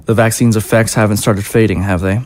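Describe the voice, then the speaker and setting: A woman's voice: extremely low pitch, fairly even intonation, brisk, a little mellow, slight background noise. A woman playing a courteous government aide with a scheming, coolly coaxing manner. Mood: conspiratorial.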